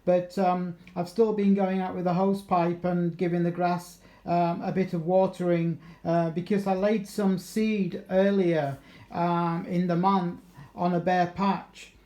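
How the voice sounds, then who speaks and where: Casual male radio hobbyist, southeast English accent, soft-edged mellow voice, recorded in an echoing room. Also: high-pitched, flat delivery, unhurried. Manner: chatty, matey, with radio procedure words. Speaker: amateur radio operator. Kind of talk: casual radio contact chat. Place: kitchen at home.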